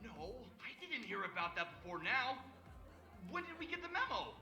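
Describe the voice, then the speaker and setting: An actor voicing an over-peppy, easily flustered school announcer in a showy, cartoonish visual novel style. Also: male voice, very high voice, sing-song, moderate pace, vocal fry, heavily acted. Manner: exaggerated, showy delivery. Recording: echoey announcement with music behind.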